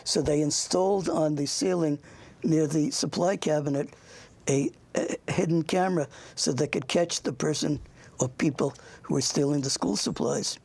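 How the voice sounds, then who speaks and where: Older host, raspy and gravelly, informal interview style, meandering and anecdotal. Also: mid-range voice, a little mellow. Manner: rambling, halting, anecdotal. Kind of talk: informal interview with a child guest.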